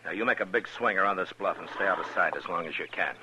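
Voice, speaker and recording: A low, deep frontier marshal acted by a man with an American accent, watchful and plainspoken. He gives orders tersely, in the style of a 1950s radio western. The old recording has clear crackle and noise over it. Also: fast, highs cut off, lightly acted. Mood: watchful, measured.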